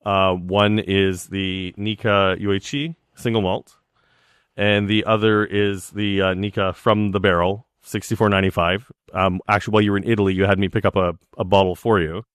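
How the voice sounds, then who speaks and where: Man, 40s, podcast co-host, rambling storyteller, deep-ish voice, dry sound. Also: flat delivery, normal speed, fairly bright tone, smooth, no echo. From Canada. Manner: storytelling, chatty with frequent ums. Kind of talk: casual chat.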